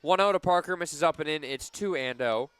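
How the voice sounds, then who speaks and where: A man calling live softball play-by-play for radio, measured between pitches and animated on big plays. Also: smooth, dry recording.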